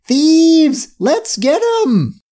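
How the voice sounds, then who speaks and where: A storyteller voicing an excitable, bossy, fierce little female hamster with an indignant, commanding delivery. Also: medium pitch, a little slow, voice pushed up. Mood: outraged.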